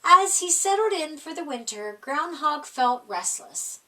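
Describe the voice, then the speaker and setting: A woman storyteller reading a children's picture book aloud in an expressive style. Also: sits high, measured pace. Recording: voice a little distant from mic.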